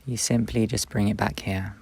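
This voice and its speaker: Low, creaky-voiced man guiding meditation. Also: fairly even intonation, dry recording. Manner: slow, gentle delivery in short phrases with long pauses. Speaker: meditation guide. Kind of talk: guided meditation, instructional and soothing.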